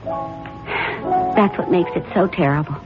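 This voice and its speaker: Earnest radio-drama wife. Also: female voice, fairly low pitch, very animated, slow. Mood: anguished conviction. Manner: pleading, emphatic, hesitant. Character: earnest, anxious, devoted wife of a judge. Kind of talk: 1970s radio drama acting. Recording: dull vintage radio, music behind.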